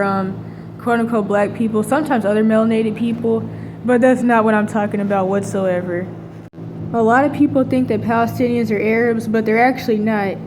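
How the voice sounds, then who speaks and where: A woman's voice: a little high, background noise. A woman talking in a casual, rambling monologue, full of fillers and restarts. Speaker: vlogger.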